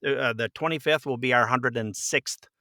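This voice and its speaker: Mature male podcast guest, forceful bright tone, very dry sound, jovial rambling raconteur. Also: medium pitch, normal speed, no echo. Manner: jovial, rambling storyteller, acts out quoted dialogue. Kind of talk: casual interview chat, anecdotes.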